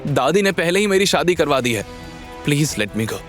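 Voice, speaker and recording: A man's voice: on the high side, big pitch swings, unhurried, soft background music, pitched above the performer's own voice. Man voicing frightened, firm young woman, audio drama. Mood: desperate pleading.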